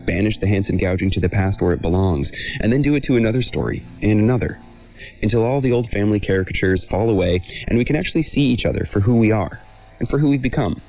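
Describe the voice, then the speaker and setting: A man's voice: on the low side, fast talker. An American man in his thirties telling a personal story in a reflective, wry way. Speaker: storyteller and host. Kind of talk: personal documentary narration and family chat. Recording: dull lo-fi radio sound, music behind.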